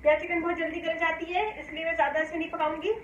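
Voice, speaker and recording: A high-pitched woman from Pakistan walks viewers through a recipe in her home kitchen, explaining each step in a calm, homely way. The kitchen sounds echoey, and a faint hum runs underneath. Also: quick pace, muffled recording. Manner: calm, homely explaining, often saying "dekhiye ji". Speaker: cooking channel host. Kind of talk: step-by-step cooking demonstration.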